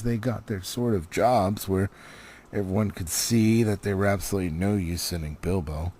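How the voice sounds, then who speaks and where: A man reading a fantasy storybook aloud as an audiobook, in a dramatic and deliberate manner with swooping emphasis. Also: lowish voice, creaky, a little mellow, a little hiss or hum. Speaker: audiobook reader. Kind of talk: expressive storybook narration, cold read.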